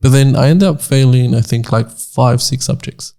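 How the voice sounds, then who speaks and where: Man, twenties, from Nicaragua, gravelly, mellow tone, rambling podcast chat. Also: medium pitch, moderate pace. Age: 20s. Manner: rambling, repeats words while searching for them. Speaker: podcast co-host. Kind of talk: casual chat.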